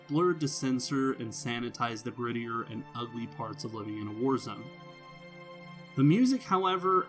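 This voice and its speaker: Man narrating a game review over music. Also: medium pitch. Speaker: game reviewer and channel host. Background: music under the voice.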